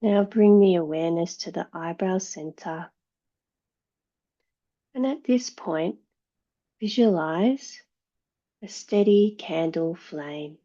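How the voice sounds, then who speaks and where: A woman teaching yoga, leading a guided meditation in a calm, measured, soothing way with long pauses between phrases. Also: fairly low pitch, lo-fi sound.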